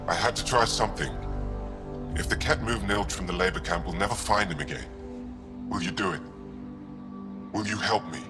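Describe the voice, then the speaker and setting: Alien rebel, male actor: very low register, candid, earnest, pleading, with music clearly behind the dialogue. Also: moderate pace, pauses often, close to an everyday voice. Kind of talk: scripted video game acting.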